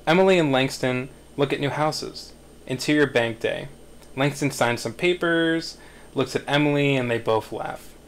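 A man in his thirties narrating screenplay directions in a measured, halting way at a table read. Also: mid-range voice, a little hiss or hum.

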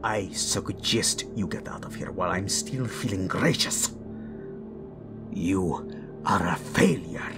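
A man playing a cruel, contemptuous old king in a fantasy audio drama, imperious and swinging from hiss to shout. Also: sits low, slow pace, music in the background. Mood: scornful, furious.

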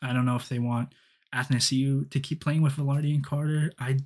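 A man's voice: medium pitch, steady tone, fairly quick, a little mellow. A young man giving rambling solo hockey commentary with many ums and restarts, recorded on a headset in a small dim room. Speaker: hockey fan podcaster. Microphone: headset, webcam framing.

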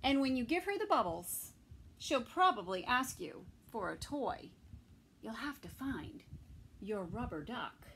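A middle-aged woman reading a picture book aloud to toddlers, expressively, with pauses between phrases. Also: fairly high pitch, sing-song, fairly bright tone, slightly rough. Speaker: children's story time reader. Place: small indoor room with bookshelves.

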